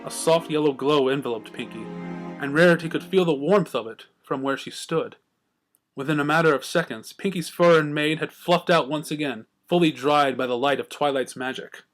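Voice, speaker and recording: A man's voice: a little high, moderate pace, faint music behind. A man reading scripted fan fiction as a storyteller. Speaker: story reader.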